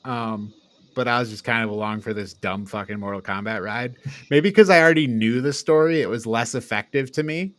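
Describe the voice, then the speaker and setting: A man's voice: medium pitch, a little fast, fairly bright tone, dry recording. A man hosting a casual movie review chat, talking in an agreeable, informal way with some casual swearing. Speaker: podcast host.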